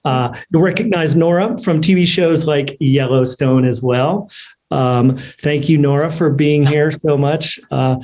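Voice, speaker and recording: A man hosting an online ceremony in an unscripted, halting way. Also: medium pitch, dark tone. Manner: halting, hesitant delivery. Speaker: host of an online award ceremony. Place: remote video call.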